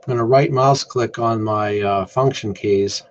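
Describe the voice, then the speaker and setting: Casual male demonstrator, lowish voice, talking through steps off the cuff; muffled lo-fi recording. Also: measured pace. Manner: unscripted, thinking aloud while working. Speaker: amateur radio contest demonstrator. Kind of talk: casual screen tutorial narration. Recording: dull lo-fi mic with faint noise.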